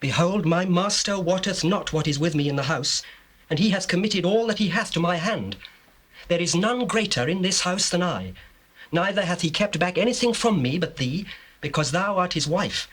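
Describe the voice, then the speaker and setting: An actor playing an upright, devout young Hebrew servant in a scripture drama, speaking in an earnest, measured, declamatory way. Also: male voice, sits high, lively intonation, fairly bright tone. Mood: earnest, resolute.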